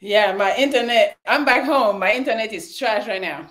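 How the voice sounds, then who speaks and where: A woman hosting a live call-in dating show over video call, brisk and dry as she directs the guests. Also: fairly high pitch, a bit bright. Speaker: host. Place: indoor room. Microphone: video call, phone or laptop.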